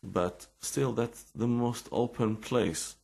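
A middle-aged Israeli man with an accent, telling his life story in halting English full of restarts and fillers. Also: medium pitch, creaky, darkish tone, slightly rough. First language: Hebrew. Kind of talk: personal storytelling and explanation.

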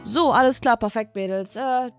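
A woman voicing an easygoing school teacher in a toy-video story, addressing the class in a casual, unhurried way. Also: fairly high pitch, faint music behind, natural voice. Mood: relaxed, matter-of-fact.

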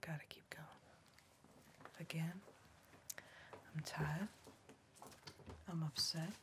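A young woman playing a weary, defiant member of a backwoods crime family in an ASMR roleplay, in a hushed, halting breathy whisper. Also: low voice, fairly even intonation, a little hiss or hum. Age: young adult. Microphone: close ASMR microphone.

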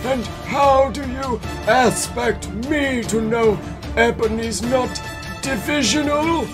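Man as a timid, flustered old headmaster, high and halting. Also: over music.

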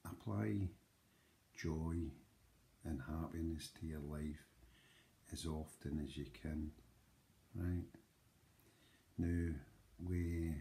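Slow, very deep-voiced Scottish man in his forties. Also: mellow. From Scotland. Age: 40s. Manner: slow, emphatic, with frequent "right?" asides. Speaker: channel host. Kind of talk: earnest motivational talk to camera. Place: small room indoors. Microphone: webcam or phone close up.

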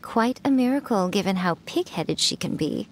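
A woman's voice: medium pitch, expressive, moderate pace, slight background noise, close to an everyday voice. A woman voicing a sly, centuries-old fox spirit priestess who teases and enjoys herself, in a video game. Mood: smug. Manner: teasing, amused, playful.

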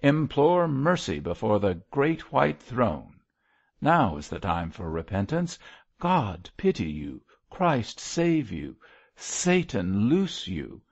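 A man's voice: mid-range voice, sing-song, takes it slowly, gravelly, darkish tone, lo-fi sound, pitched above the performer's own voice, full character voice. Man acting a fervent old zealot, swooping and solemn. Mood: fervent exhortation. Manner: solemn, exhorting, declamatory prayer. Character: wary old male zealot missionary, stern, fervently pious. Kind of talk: audiobook character voice.

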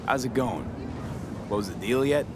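An actor playing a cool, cheeky mercenary in a video game, casual, wry and unruffled. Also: male voice, mid-range voice, expressive, moderate pace, background noise, lightly acted. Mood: nonchalant. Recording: loud game music underneath.

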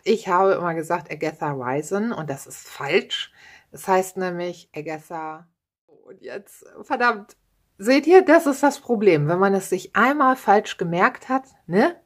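Book vlogger, woman in her thirties, unhurried and rambling, lots of "ne?" and "ähm". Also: fairly low pitch. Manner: chatty, many fillers and tag questions, self-deprecating laughs. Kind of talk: casual book chat. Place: home room with bookshelves, indoors.

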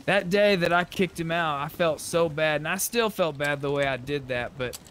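A man's voice: on the high side, moderate pace, fairly bright tone. A man talking casually in roleplay over online game voice chat. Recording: game voice chat, noisy background.

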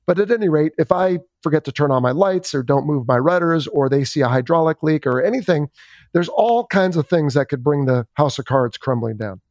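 A man's voice: on the high side, expressive, a little fast, a little mellow, no echo. A man hosting a podcast interview in a casual, wry, conversational way, talking like a former military pilot. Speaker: podcast host, former Navy fighter pilot.

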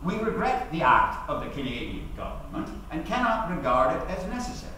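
Male actor as defiant socialist defendant, middle-aged man, theatrical rhetorical oratory, lively raised pitch, stage reading. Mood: earnest, measured. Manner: declamatory, deliberate, rhetorical. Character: defiant, eloquent socialist defendant addressing a 1919 jury. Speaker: actor giving a dramatic reading. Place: large auditorium, speaking at a podium. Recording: echoey hall, distant mic, noisy room.

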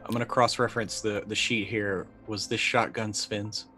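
A middle-aged man with a lowish voice. He chats casually as a roleplaying player, feeling his way through each action aloud. Music runs underneath. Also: flat delivery, sharp tone. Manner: halting, thinking aloud. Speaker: player in a tabletop roleplaying game. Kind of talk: casual chat, narrating his character's actions. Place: indoor room, video call. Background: background music.

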